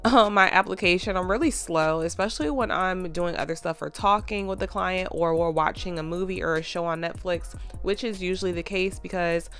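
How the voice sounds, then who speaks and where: A woman's voice: on the low side, a little fast, hardly any pauses, a bit bright, smooth, soft background music. A woman with a stuffy, congested voice, chatting casually to viewers as a nail tech vlogger, with lots of fillers.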